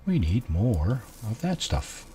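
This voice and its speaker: A man with a low, soft voice, quietly talking through a video game at an unhurried pace, musing aloud with many fillers. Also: sing-song. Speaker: gameplay streamer. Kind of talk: casual let's-play commentary.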